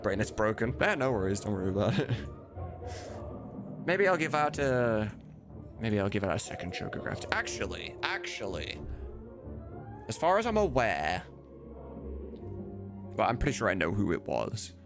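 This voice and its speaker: A man's voice: lowish voice, very animated, normal speed, long pauses, music in the background. A man livestreaming in a casual, rambling, sweary chat, often amused by himself. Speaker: live streamer and game modder.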